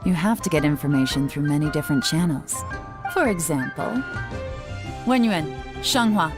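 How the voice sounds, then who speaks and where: A coolly commanding female spymaster in a video game. Her voice sits a little low, assured and instructive. Music plays clearly behind her. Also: lively intonation, moderate pace. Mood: cool, knowing. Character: composed, shrewd woman spymaster, coolly commanding. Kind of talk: English video game voice acting.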